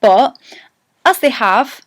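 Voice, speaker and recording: A woman's voice: a little high, lively intonation, slow pace, no echo, studio quality. A woman with a Geordie accent, an actress chatting to camera as she explains how she works on accents, self-deprecating and thinking aloud. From North East England. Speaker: actress giving an accent tutorial. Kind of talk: casual to-camera vlog.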